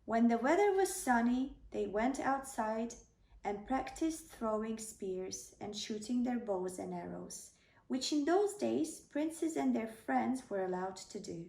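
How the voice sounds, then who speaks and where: A woman's voice: fairly high pitch, lively intonation, unhurried, vocal fry, darkish tone. A woman narrating a children's bedtime story, reading aloud as a storyteller. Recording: roomy, slightly distant microphone.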